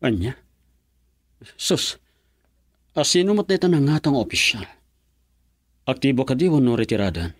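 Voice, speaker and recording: A man plays a determined young lover in a radio melodrama. His husky voice is of medium pitch, earnest and intense, recorded close and dry. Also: big pitch swings, normal speed, long pauses, no echo. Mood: shocked. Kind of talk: radio drama acting.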